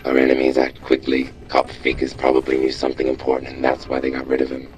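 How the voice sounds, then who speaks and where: Very deep, flat-toned robot boss. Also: male voice, a little hiss or hum, poor audio. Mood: grave, urgent. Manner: measured, terse, authoritative. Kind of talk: scripted game dialogue.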